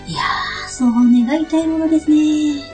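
A woman's voice: high voice, normal speed, a little mellow, faint music behind. A woman hosting a radio show in a polite, playful, chatty way from prepared material. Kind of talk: scripted, chatty host monologue.

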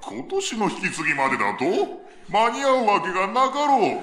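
A man's voice: high voice, very animated, normal speed, pushed and bright, heavily acted. A man playing a pompous, unyielding male boss in a radio drama, speaking in stiff, formal, authoritative phrases. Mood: haughty, dismissive. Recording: roomy echo.

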